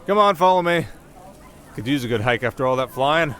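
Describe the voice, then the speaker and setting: A man's voice: medium pitch, expressive, a little fast, a bit bright, lightly acted. A man playing a cocky, playful winter spirit in an ASMR roleplay, speaking straight to the listener in flippant, sarcastic, casual banter.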